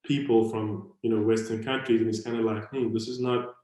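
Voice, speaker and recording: South African man, low flat voice, rambling with fillers, very echoey room. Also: fairly quick. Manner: rambling, hedging with many fillers. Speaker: moderator. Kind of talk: online panel conversation.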